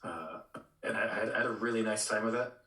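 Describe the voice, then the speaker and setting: A man chatting casually on a podcast, in a halting way full of fillers and restarts. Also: on the low side, pushed and bright, echoey room. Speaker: podcast panelist.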